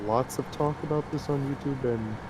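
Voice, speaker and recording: A man with a mid-range voice gives his opinions in casual gaming chat. His delivery is a bit halting, and vehicle noise is clearly audible behind him. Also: mid-range voice. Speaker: co-player on a livestream.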